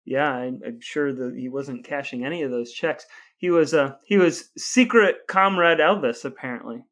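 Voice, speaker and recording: A man's voice: mid-range voice, moderate pace, fairly bright tone. A man co-hosting a podcast, telling stories casually in a wry, jokey way. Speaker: podcast co-host. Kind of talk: casual conversational storytelling.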